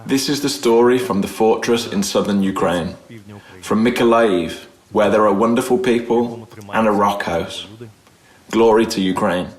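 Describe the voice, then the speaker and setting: A man with a lowish voice reads a scripted voice-over translation. He is earnest and measured, leaning on key words with quiet emphasis. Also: rough recording.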